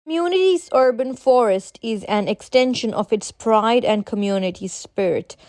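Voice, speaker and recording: A woman reads scripted informational passages aloud in a measured, formal way as a model narrator. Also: fairly high pitch, expressive, darkish tone, dry recording, studio quality.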